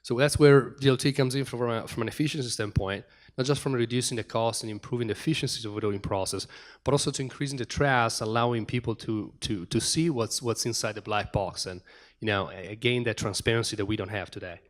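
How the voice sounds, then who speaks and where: A middle-aged man speaks to a conference audience in a room. He races through his points, often restarting and repeating words as he goes. His pitch sits at an ordinary middle level for a man. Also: fast talker. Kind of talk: conference talk.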